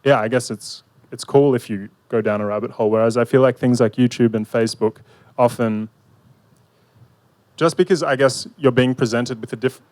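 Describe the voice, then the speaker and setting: A man's voice: medium pitch, moderate pace, a little mellow, no room sound. A young man facilitating a panel outdoors, answering thoughtfully with many fillers and restarts into a handheld mic. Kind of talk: hesitant panel discussion. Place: outdoor park pavilion.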